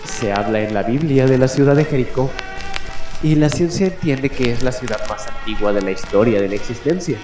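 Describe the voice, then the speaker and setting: An echo-laden male voice of medium pitch speaks over clearly audible music. He hosts as a 1940s radio commentator, musing in a halting, reflective way. He often stops to put rhetorical questions to the listener. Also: lively intonation. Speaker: radio show host in character.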